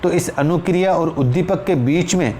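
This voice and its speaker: A man's voice: a little high, moderate pace, warm tone. A man with an Indian accent teaching in an explanatory lecture style, repeating phrases for emphasis and addressing listeners as friends. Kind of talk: exam-prep lecture, explanatory. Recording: clear background noise.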